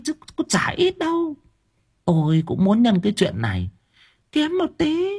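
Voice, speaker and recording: A shrill, husky village wife, greedy and conspiratorial, wheedling her husband with sudden high swoops, as a male storyteller puts her on. Her pitch leaps far above the narrator's own. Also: exaggerated voice. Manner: nagging, conspiratorial, whispers and cajoles. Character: scheming sharp-tongued village wife.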